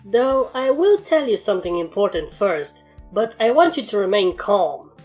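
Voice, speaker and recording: A woman's voice: mid-range voice, big pitch swings, normal speed, a little mellow, soft background music. A woman voicing an anxious pony with a dry, wry manner in a fan fiction story reading. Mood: resigned, serious. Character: anxious, sarcastic pony with a wary streak.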